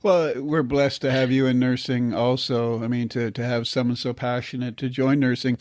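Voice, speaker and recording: Older male host, halting and repetitive. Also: medium pitch, level tone, darkish tone, no room sound, studio quality. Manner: halting, repeats words when starting sentences. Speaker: podcast host, nurse. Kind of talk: podcast interview.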